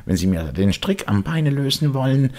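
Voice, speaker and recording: A man's voice: mid-range voice, big pitch swings, normal speed, darkish tone, pitched above the performer's own voice, natural voice. A man voicing a cheeky, merry little wooden puppet boy in a playful, politely teasing way for a storybook.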